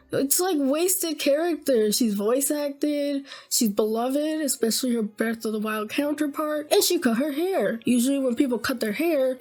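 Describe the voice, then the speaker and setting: A woman talking casually to her webcam, bubbly and excitable, her voice slightly hoarse after being ill. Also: high voice. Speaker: gaming YouTuber.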